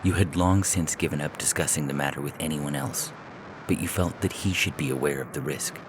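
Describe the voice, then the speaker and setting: Man, extremely low voice, measured story narration, creaky delivery, noisy ambient background. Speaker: narrator and podcast host. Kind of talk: scripted short story narration.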